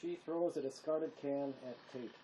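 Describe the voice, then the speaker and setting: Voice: mid-range voice, normal speed. A narrator reading a drama's stage directions in scripted narration. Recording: clear background noise, room ambience.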